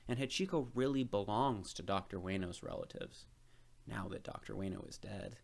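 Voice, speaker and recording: A man's voice: on the low side, moderate pace, gravelly, a little mellow. A man voicing a sad, tired, practical father in a storybook read aloud. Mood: gently firm.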